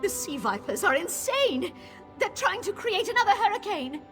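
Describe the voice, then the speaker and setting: A woman's voice: very high-pitched, big pitch swings, normal speed. An actress playing a frightened, urgent female sailor who escaped captivity in a fantasy video game. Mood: alarmed, urgent. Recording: game music behind the voice.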